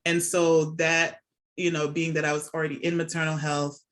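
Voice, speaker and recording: A woman's voice: deep voice, little pitch movement, moderate pace, a bit bright. A middle-aged woman talks as a guest on a podcast. Her delivery is chatty and casual, explaining things conversationally and often checking that the listener follows. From born and raised in Jamaica, moved to the United States as a child. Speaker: podcast guest, nurse and academic. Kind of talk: casual conversational interview answers. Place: remote video call from home.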